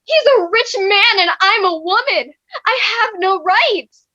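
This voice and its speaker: A woman's voice: very high voice, expressive, unhurried, sharp tone, lo-fi sound, heavily acted. A woman playing a bitter, sarcastic young wife in a comic staged reading, with melodramatic exasperation. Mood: despairing.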